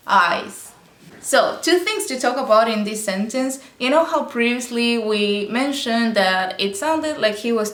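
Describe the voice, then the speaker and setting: A woman's voice: a little high, reverberant. A woman teaching Arabic by explaining song lyrics line by line, patient and explanatory, often checking in with "okay?".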